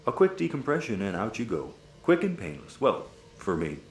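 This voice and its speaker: Deep, smug crime boss. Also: male voice, wildly swooping pitch, normal speed, a little mellow. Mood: gloating menace. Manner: mock-polite, sardonic, wordy. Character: smug, theatrical male crime boss and casino owner. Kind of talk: sci-fi audio comedy acting. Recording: echoey room, faint background noise.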